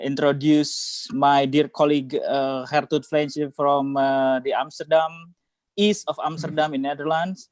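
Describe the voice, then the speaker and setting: A man with an Indonesian accent hosting a casual online conversation, halting as he searches for words and often saying "like". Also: mid-range voice, a little mellow, smooth, dry recording. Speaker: host. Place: indoors, video call.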